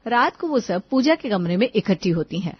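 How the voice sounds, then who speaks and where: A woman's voice: medium pitch, lively intonation, moderate pace, husky, muffled recording. A woman reading scripted narration for a cartoon moral story.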